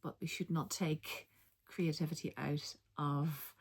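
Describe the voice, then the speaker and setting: A middle-aged woman with a light Dutch accent talks in a relaxed, chatty way, pausing on ums and correcting herself as she goes. Her voice sits fairly low and has a gravelly edge. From Netherlands. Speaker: knitting vlogger and linguist. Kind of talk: casual vlog chat.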